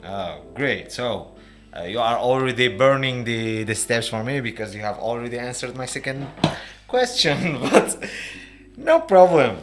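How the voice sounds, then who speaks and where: A man with an Algerian accent hosting a casual interview, halting as he searches for his words. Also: medium pitch, lively intonation, a little hiss or hum. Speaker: podcast host, interviewer.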